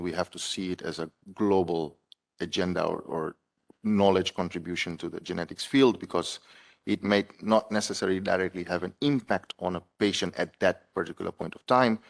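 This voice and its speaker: Male PhD candidate, deep voice, hesitant but formal replies. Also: creaky. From Tanzania. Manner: measured, with frequent hesitations and restarts. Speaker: PhD candidate defending a thesis. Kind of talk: formal academic answers.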